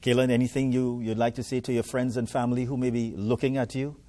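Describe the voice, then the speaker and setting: A man's voice: mid-range voice, little pitch movement, quick pace, dark tone. A man hosting a morning TV show who interviews a guest with friendly, encouraging, folksy questions. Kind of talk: live TV interview.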